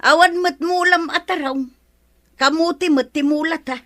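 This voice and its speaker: Shrill, bright-voiced scolding Ilocano wife. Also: female voice, fairly even intonation, moderate pace. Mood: exasperated. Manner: scolding, sharp-tongued. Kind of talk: radio comedy drama acting.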